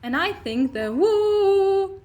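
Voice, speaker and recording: A woman's voice: very high-pitched, big pitch swings, very slow, a little mellow, heavily acted. A woman playing a playful, reassuring alien heroine in a fan audio drama, coaxing and teasing as she talks. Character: playful, reassuring time-travelling alien heroine, a voice in a boy's head. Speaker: voice actor. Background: music.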